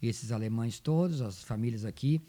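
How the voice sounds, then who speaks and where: A man's voice: mid-range voice, normal speed, mellow. A man with a southern Brazilian accent from Rio Grande do Sul, telling stories conversationally as an interview guest and repeating phrases for emphasis. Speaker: interview guest, folk dance group coordinator. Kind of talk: conversational storytelling.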